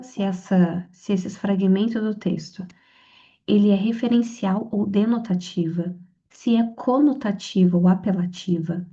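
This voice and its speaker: A young Brazilian woman, hoarse from a cold, chatting through her exam study notes with lots of fillers and restarts. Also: medium pitch, warm tone, highs cut off. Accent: Brazilian Portuguese. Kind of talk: casual study lesson to camera.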